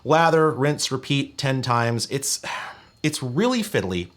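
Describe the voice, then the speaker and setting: A man's voice: medium pitch, expressive, takes it slowly. A man presenting a scripted tech review with casual asides, in a wry, emphatic, conversational way.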